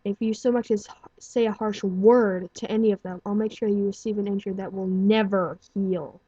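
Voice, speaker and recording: Woman reading as an older stallion doctor, cold and protective, breathy dull tone. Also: medium pitch, fairly even intonation, mellow, dry recording, close to an everyday voice. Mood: stern. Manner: measured, cool and stern. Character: older male pony doctor, taciturn and protective.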